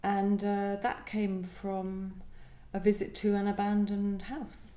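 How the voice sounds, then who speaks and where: A woman's voice: mid-range voice, monotone, slow, mellow. Woman poet in an echoey room. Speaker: poet, guest at a reading. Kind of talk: reflective discussion and poetry reading. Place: echoey indoor venue at a live event. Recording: roomy, echoing live venue.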